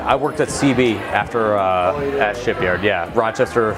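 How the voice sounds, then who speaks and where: A man's voice: lowish voice, normal speed, over loud music. A man from Maine, a brewer speaking enthusiastically and candidly in a documentary interview.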